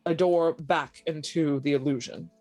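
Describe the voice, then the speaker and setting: A woman's voice: sits low, fairly even intonation, unhurried, darkish tone, faint music behind. A woman playing a shaken, sick and desperate young woman with a brooding bent, in a horror tabletop roleplay. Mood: strained, reasoning.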